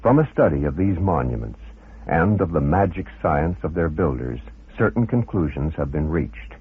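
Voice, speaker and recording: Male narrator, low pitch, very raspy, formal measured scripted delivery, thin phone-like radio recording.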